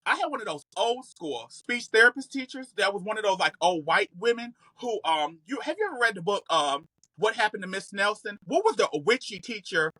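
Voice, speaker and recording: A man's voice: high-pitched, lively intonation, brisk, hardly any pauses, pushed and bright, dry recording, low-quality recording. A man in his thirties chatting casually as a podcast guest, telling stories in a sassy, slangy, emphatic and comedic way. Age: 30s. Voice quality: occasional slight stutter. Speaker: podcast guest, content creator. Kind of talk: casual, animated storytelling.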